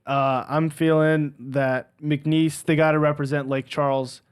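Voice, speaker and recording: A man's voice: fairly high pitch, little pitch movement, unhurried, smooth. A young man co-hosting a casual betting podcast, making laid-back, jokey picks. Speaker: podcast co-host. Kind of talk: casual sports betting chat.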